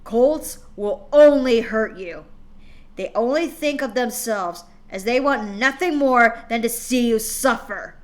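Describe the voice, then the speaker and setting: A woman's voice: a little high, takes it slowly. A woman doing a cruel, growling pony father. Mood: menacing. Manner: growling, gloating, harsh. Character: cruel abusive male pony father, menacing.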